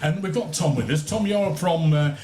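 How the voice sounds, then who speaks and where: A man hosting a live radio interview in a chatty, halting way, often rounding off remarks with tag questions. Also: a little high, dark tone. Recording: echoey with clear background noise.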